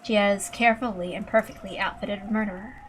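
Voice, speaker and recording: A woman's voice: medium pitch, fairly even intonation, fairly quick, slight background noise, low-quality recording. A woman reading scripted fiction aloud as narrator, in a dramatic storytelling style. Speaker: amateur reader and narrator of a visual novel.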